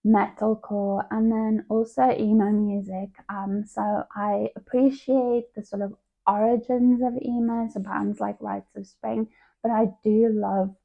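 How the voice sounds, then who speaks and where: A woman's voice: medium pitch, gravelly. A woman, chatting casually to camera as a book vlogger. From South Africa. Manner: chatty and conversational. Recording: tinny phone-like sound.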